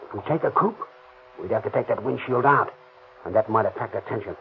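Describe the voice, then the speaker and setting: A man's voice: fairly low pitch, a little fast, natural voice. An actor playing a ruthless, bossy car thief in a 1930s radio crime drama, with slangy tough-guy talk. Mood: menacing. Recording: tinny old radio recording, faint hiss.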